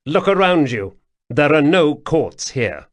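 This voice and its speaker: An imperious young prince, voiced by a man, commanding and clipped, with an animated swing in his delivery. The sound is dry and close. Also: on the high side, slow pace, no room sound, voice pushed up.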